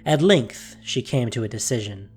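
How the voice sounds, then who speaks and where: A male narrator delivers scripted dramatic fiction in a mid-range voice. He is solemn and deliberate, pausing for weight, with music quietly underneath. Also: mid-range voice, lively intonation, slightly rough.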